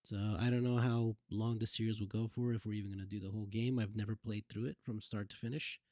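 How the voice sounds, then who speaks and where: A man's voice: lowish voice, little pitch movement, quick pace, mellow, dry recording, studio quality. A man doing casual livestream commentary on a game, thinking aloud and reminiscing informally as someone who helped make it. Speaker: streamer, former game cutscene assistant giving commentary.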